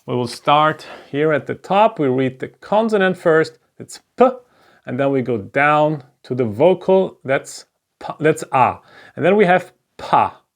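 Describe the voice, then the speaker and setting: Slow gravelly German-accented male teacher. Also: on the high side, expressive. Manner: patient, step-by-step, checks in with "right?". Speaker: Hebrew teacher. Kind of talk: slow explanatory lesson at a board.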